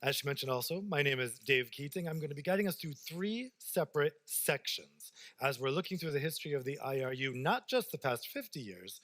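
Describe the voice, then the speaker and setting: A male event moderator introducing the program with an animated, rising-and-falling delivery. His voice is recorded close and dry, with no room sound. He speaks smoothly, as if from prepared notes. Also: mid-range voice, no echo.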